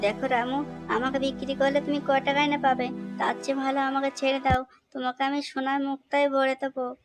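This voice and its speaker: A woman's voice: high voice, flat delivery, brisk, music in the background, close to an everyday voice. A woman voicing a kind, generous magical mother parrot in a cartoon story, speaking politely and reassuringly. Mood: persuading, grateful.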